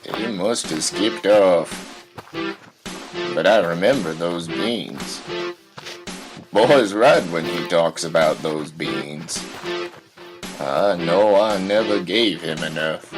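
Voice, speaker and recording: Callous folksy country pa, acted by a man, deadpan, unhurried and low, music behind. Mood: musing. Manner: unhurried, deadpan, folksy old-time phrasing. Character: gruff, indifferent rural father, callous and folksy. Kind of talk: surreal audio drama dialogue.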